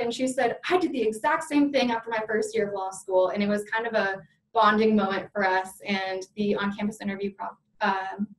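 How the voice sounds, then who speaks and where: A young woman law student talks in a chatty, enthusiastic way, with lots of vocal fry. She sounds distant from the mic, in an echoey room. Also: mid-range voice. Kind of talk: casual interview.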